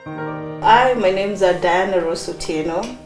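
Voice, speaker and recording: A woman's voice: medium pitch, slow, music in the background. Kenyan woman, thirties, bubbly, chatty, echoey room. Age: 30s. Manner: bubbly, chatty, with many fillers and laughs. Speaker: interview guest. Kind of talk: personal storytelling in an interview.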